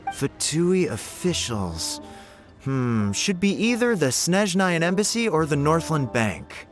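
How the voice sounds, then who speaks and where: A man's voice: on the high side, big pitch swings, takes it slowly. A man playing an earnest, worried, deferential subordinate agent in a video game. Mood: troubled, pondering. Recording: music behind the voice.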